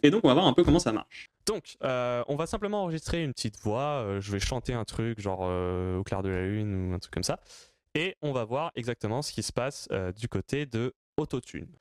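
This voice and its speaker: A man presenting an informal, off-the-cuff software tutorial, hesitant and self-deprecating as he explains things. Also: mid-range voice, expressive, brisk, smooth. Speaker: tutorial presenter answering viewer questions.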